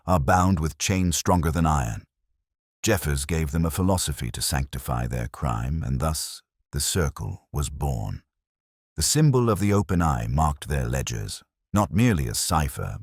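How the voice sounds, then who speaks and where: A man voicing a grave, incisive detective in a mystery audiobook, speaking in measured, solemn, oratorical phrases. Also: rumbling low, breathy edge, no echo, clean studio sound, heavily acted. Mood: grave, emphatic. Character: grave, incisive male detective explaining a case.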